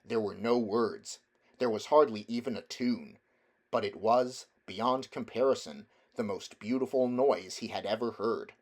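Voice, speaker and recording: A man reading a children's novel aloud as a narrator, in a measured, deliberate storytelling manner. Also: lowish voice, darkish tone. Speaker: audiobook reader.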